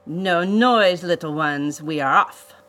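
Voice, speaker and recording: A woman voicing a pompous, menacing male villain, a vain botanist, in a storybook reading with slow, emphatic delivery. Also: on the low side, fairly bright tone.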